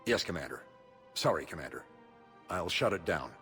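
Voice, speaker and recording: An actor playing a bitter, stubborn retired marine captain in a video game, with a terse, gruff delivery. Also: male voice, deep voice, sing-song, normal speed, pushed and bright, faint music behind, heavily acted. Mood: chastened. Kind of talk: video game cutscene dialogue.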